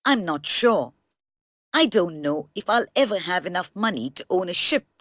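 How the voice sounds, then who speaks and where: A woman's voice: on the low side, animated, normal speed, fairly bright tone, no echo, studio quality. A woman voicing an eager, humble young boat boy in a children's storybook, polite and earnest. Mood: earnest, wistful. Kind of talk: children's storybook read-aloud.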